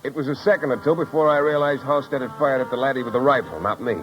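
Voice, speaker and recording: A man's voice: medium pitch, fast talker, loud music behind the voice, close to an everyday voice. An actor playing a hard-boiled, wisecracking private detective, with wry, clipped tough-guy delivery in a 1950s radio drama style. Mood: brisk. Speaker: lead actor and narrator. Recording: dull old 1950s radio recording.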